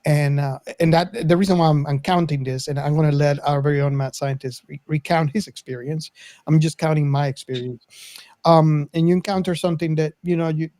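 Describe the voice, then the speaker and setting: A man's voice: on the high side, steady tone, brisk, mellow. A man hosting a casual discussion in a rambling, hesitant way. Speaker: podcast host.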